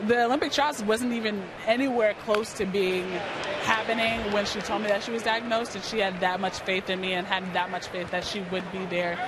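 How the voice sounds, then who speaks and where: A woman's voice: mid-range voice, steady tone, a little fast. An American woman in her early twenties from New York, speaking earnestly and conversationally as an athlete being interviewed. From New York, United States. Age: early 20s. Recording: clear outdoor stadium noise behind. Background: outdoor ballpark ambience.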